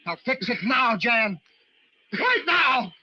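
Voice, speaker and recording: An actor playing a desperate lighthouse keeper narrating a storm ordeal in an intense, breathless old-time radio drama style. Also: male voice, very high-pitched, big pitch swings, takes it slowly, sharp tone, husky, dry recording, exaggerated voice. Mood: frantic straining. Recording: muffled old radio recording with dramatic music.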